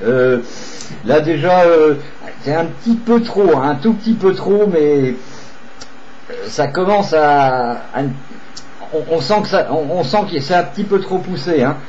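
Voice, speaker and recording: A man's voice: fairly high pitch, expressive, unhurried, darkish tone. A man operating a CB radio, chatting with another operator in a repetitive, hesitant way with radio procedure phrases. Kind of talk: radio contact chat. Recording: dull, slightly noisy CB radio recording.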